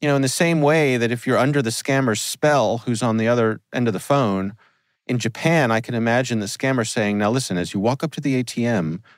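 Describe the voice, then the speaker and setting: A man co-hosting a podcast, relaxed and wry in casual chat. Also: medium pitch, brisk, no room sound. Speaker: podcast co-host.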